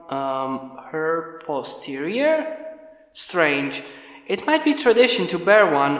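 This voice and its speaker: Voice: mid-range voice, reverberant, lightly acted, poor audio. A reader voicing a brooding human inventor who gives dry orders and mutters wry asides to himself. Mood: curious.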